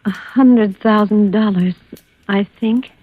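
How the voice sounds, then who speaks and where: An actress playing a frail, docile wife who speaks in a hesitant, dreamy daze, in 1940s radio style. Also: female voice, mid-range voice, slow, dry recording, narrow, tinny audio. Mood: docile, dazed. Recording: thin, band-limited old radio recording.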